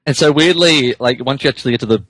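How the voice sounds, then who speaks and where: A man hosting a podcast, switching between casual banter and comic dramatic reading in an amused, animated manner. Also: medium pitch, fast, no echo, rough recording. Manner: animated, amused, breaks into laughter while reading. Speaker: podcast host and narrator.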